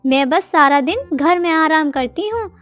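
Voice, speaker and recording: A smug little female songbird from a children's cartoon, voiced by a woman, talks at a very high pitch. She is chatty, idle and boastful, and light music sits behind her. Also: expressive, moderate pace, a bit bright. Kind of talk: children's animated story acting.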